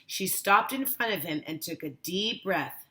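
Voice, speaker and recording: A woman teacher reading a children's story aloud with measured, deliberate phrasing. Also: lowish voice, animated, pushed and bright.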